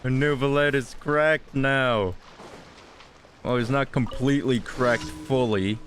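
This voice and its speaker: A man's voice: mid-range voice, steady tone, slow pace, a bit bright, a little hiss or hum. A man giving casual gameplay commentary, blunt and sweary, often talking straight at the game. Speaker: gaming video creator.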